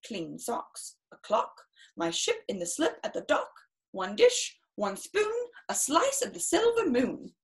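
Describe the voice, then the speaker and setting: A woman in her thirties reads a children's picture book aloud theatrically, with drawn-out emphasis and chatty asides. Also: sits high, huge pitch swings, creaky. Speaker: storyteller reading a picture book. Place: small room indoors, seated in an armchair.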